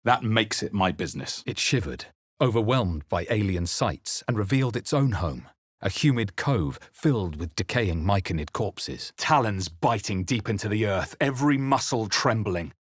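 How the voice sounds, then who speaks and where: A man voicing an earnest, wry male adventurer hero in a fantasy video game, telling his quest in a measured, brooding, dramatic way. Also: lowish voice, expressive, breathy edge, no room sound, studio quality. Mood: measured, narrating. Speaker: video game player-character voice actor. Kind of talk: dramatic game narration and short character barks.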